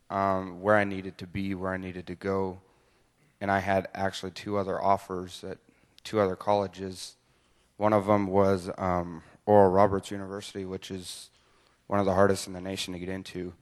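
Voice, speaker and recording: A young man from Colorado, a graduating student, speaking in a steady, measured way. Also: low voice, long reverb tail.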